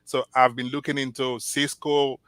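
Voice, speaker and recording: A man from Nigeria whose first language is Yoruba, answering interview questions as a job candidate in an earnest, measured way with small restarts. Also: medium pitch, level tone, a bit bright, clean tone, no room sound.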